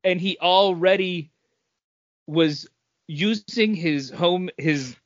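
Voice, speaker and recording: A man's voice: high-pitched, takes it slowly. A man chatting casually as a podcast host, telling anecdotes and acting out what people said. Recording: dull, echoey room sound.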